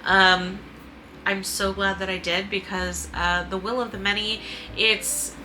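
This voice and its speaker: A woman talking casually in a book review vlog, informal and a bit halting, often correcting herself and swearing mildly. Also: mid-range voice, little pitch movement, sharp tone, a little hiss or hum, poor audio. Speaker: book reviewer and video host.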